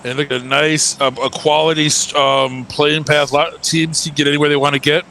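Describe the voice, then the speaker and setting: A man casting a live esports match with a stuffed, nasal voice from being sick, pitched a little above the usual male range. He rambles and jokes, looping through fillers and restarts. Steady game noise runs loud behind him. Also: fairly quick, creaky, poor audio. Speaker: esports caster. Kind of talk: live esports commentary with joking banter.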